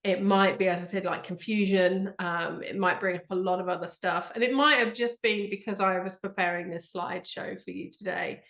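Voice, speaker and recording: A woman's voice: mid-range voice, fairly even intonation, moderate pace, few pauses, fairly bright tone, roomy sound, muffled recording. A woman hosting an online talk, congested with a blocked nose, chatty and earnest with frequent ums. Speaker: host. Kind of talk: live online talk with slides.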